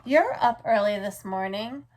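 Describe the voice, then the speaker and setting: A woman reading the part of a caring, gently bustling mother of a young boy in a storybook, with a warm, motherly manner. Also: medium pitch, lively intonation, unhurried, lightly acted.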